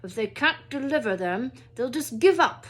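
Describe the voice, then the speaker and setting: Woman reading as a blustering, husky middle-aged uncle. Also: sits high, lively intonation, moderate pace, voice pushed up. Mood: stubborn scheming. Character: gruff, flustered, angry middle-aged uncle, muddled and stubborn.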